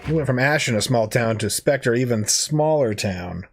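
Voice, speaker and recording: A man's voice: medium pitch, animated, normal speed, music in the background. A man co-hosting a movie reaction, tossing off wry, casual asides.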